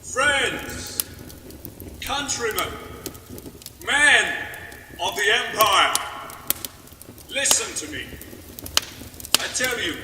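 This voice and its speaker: A man's voice: high-pitched, pushed and bright, echoey room, long reverb tail, far from the mic, a little hiss or hum, full character voice. A man voicing a grandiose, rousing saga orator in a declamatory fantasy speech. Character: rousing saga-singing orator rallying men of the Empire. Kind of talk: fantasy oration.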